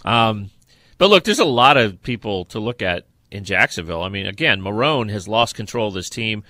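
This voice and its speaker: Bright-voiced man talking football, fairly low. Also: lively intonation, dry recording, studio quality. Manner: halting, searching for details as he talks. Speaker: sports podcast co-host. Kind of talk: casual sports talk, recapping and previewing football.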